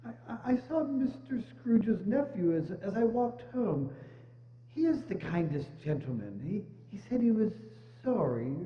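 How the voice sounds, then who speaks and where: A gentle, grieving Victorian father played by a man speaks in halting, stammering, tender phrases. It is a theatrical reading in a reverberant hall, with dull, muffled sound. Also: sits high. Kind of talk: dramatic reading of a Victorian classic.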